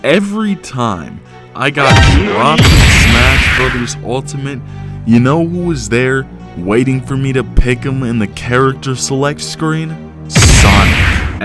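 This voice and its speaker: A man doing casual comedic video commentary as a YouTube reviewer, slangy and self-deprecating, with an exaggerated mock-serious streak. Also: lowish voice.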